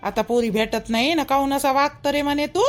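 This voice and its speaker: A woman's voice: high-pitched, a little fast, faint music behind, natural voice. A woman voicing a chatty, meddling, protective elder in a village cartoon, with a colloquial Vidarbha Marathi accent. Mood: indignant gossip. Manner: colloquial, gossipy, advising.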